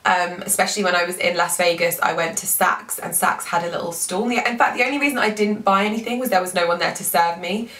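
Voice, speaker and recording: A woman's voice: fairly low pitch, fast talker, hardly any pauses, sharp tone. A young woman, congested from a cold, chatting casually about beauty products with lots of "like" and "um". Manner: chatty, frequent "like" and "um". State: congested, lost voice, sore throat. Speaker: beauty vlogger. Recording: echoey room, mic a bit far.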